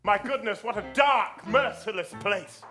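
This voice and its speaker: A man's voice: high voice, unhurried, music in the background. A man playing an earnest, put-upon young hero in a live comic pantomime. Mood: earnest alarm.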